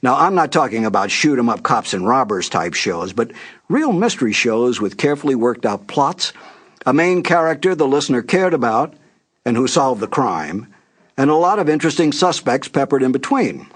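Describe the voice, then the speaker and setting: An older man hosting with a scripted, nostalgic introduction, telling it in a measured way with deliberate emphasis. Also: mid-range voice, sing-song, husky. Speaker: announcer and host.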